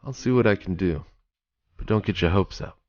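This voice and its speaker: A man's voice: sits low, moderate pace, darkish tone, highs cut off, natural voice. A man playing an older retired police detective in a noir audio drama, blunt and paternal, using old-time slang. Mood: wary, stern. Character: gruff, fatherly retired male police detective.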